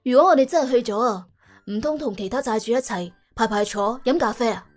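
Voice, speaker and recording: A woman's voice: fairly high pitch, fast talker, faint music behind, natural voice. A woman voicing a streetwise, world-weary young female debt collector, sardonic and colloquial, in an audio drama. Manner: colloquial, sardonic, exclamatory, argues with rhetorical questions. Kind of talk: audio drama character acting.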